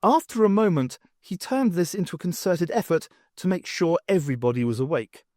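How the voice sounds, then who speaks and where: A man's voice: sits high, animated, fairly quick, a little mellow, dry recording, studio quality. A man reading an audiobook as narrator, in a scripted storytelling style.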